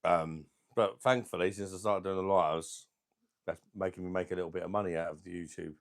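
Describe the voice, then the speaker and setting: A middle-aged man with a deep voice and a sore throat, chatting casually as he hosts a beer channel livestream and reads out viewer comments in a rambling, laid-back way. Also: fairly even intonation, a little fast, creaky. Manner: rambling, laid-back, chuckling between remarks. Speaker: beer review channel host.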